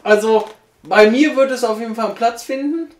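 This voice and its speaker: A man's voice: very high voice, a little fast. A young man hosting a review vlog, laid-back and colloquial, openly enthusiastic. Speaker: host, reviewer. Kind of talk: casual vlog chat. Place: small room on a sofa. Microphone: camera across the room. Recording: echoey small room.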